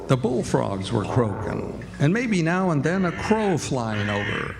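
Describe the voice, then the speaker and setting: A man playing a folksy storyteller narrating a radio drama in a gravelly, lyrical way. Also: mid-range voice, big pitch swings, unhurried. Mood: calm, lyrical. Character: folksy, unhurried storyteller of river life. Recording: noisy echoey hall, live stage.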